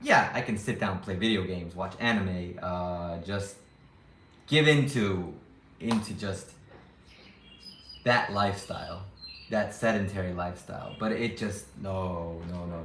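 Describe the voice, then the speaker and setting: Young guy vlogging, lowish voice, rambles and restarts a lot, kinda echoey room. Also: a bit bright, a little hiss or hum. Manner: rambling, self-questioning, frequent restarts. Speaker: YouTuber living abroad. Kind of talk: casual vlog talk to viewers.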